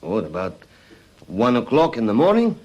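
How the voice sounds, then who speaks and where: An actor playing a nervous foreign accomplice, terse and defensive, in an old-fashioned radio drama. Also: male voice, mid-range voice, huge pitch swings, moderate pace, darkish tone, highs cut off. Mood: uneasy. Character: nervous foreign male accomplice under questioning.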